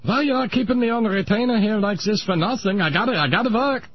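A man voicing a pompous old male Viennese psychoanalyst, lecturing in a smug, drawn-out way. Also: sits high, breathy edge, dull audio, voice pushed up.

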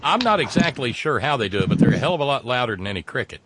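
A man's voice: medium pitch, very animated, racing, very noisy. A man hosting a casual live show, rambling with many fillers and restarts. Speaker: host. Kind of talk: casual livestream talk.

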